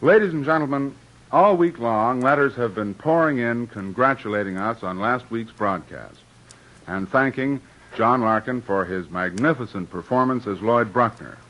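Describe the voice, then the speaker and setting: A man's voice: mid-range voice, normal speed, a bit bright. A man introducing a radio drama as its director and host, courteous and gracious. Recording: dull old radio broadcast.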